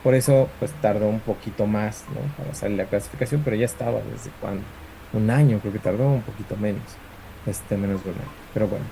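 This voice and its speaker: A man's voice: on the low side, dark tone, a little hiss or hum, poor audio. A man, lecturing an online class over a video call, explaining step by step with many fillers and tag questions. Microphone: computer mic on video call.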